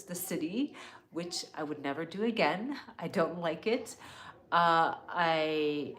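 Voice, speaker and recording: A woman's voice: low-pitched, fairly bright tone. Chatty woman hosting a wellness live. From born in Canada, grew up in California. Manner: chatty, earnest, reads comments aloud. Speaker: wellness livestream host. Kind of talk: casual live Q&A chat.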